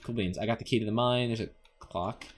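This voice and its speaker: A man giving casual, rambling playthrough commentary as a gaming host, jokey and excitable. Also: on the low side, brisk, slight background noise.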